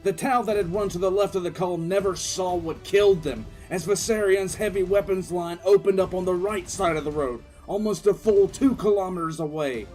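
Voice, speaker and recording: An actor playing a battle-hardened military commissar who recounts combat with grim relish in an intense, theatrical audio drama narration. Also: male voice, sits high, darkish tone, soft background music, close to an everyday voice. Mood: intense, fierce. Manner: intense, theatrical, drawn-out emphatic delivery.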